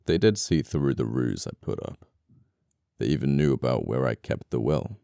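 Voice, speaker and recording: Casting: male actor for scoundrel murderer in ASMR roleplay, very deep and smooth, politely menacing, apologetic, flirtatious, quaint wording. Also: no room sound, studio quality, exaggerated voice. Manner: soft-spoken politeness, condescending, old-fashioned phrasing. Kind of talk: intimate ASMR audio roleplay acting.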